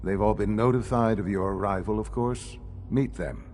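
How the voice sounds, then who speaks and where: An actor playing an elderly male leader of a secretive science institute in a video game, speaking in a measured, formal, gravely earnest way. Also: fairly low pitch, a little mellow, faint music behind, natural voice. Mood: gracious, formal. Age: older. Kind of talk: video game dialogue acting.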